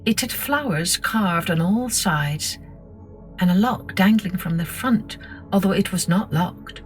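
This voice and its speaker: A woman with a slightly low voice reads a children's story. Her delivery is measured and expressive, in the manner of a storytelling podcast host. Music plays clearly behind her. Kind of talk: scripted children's story narration.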